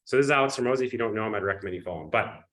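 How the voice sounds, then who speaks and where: A man with a cold, sniffling and coughing, giving an energetic live webinar pitch and often checking in with his audience. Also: rapid-fire. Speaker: webinar presenter.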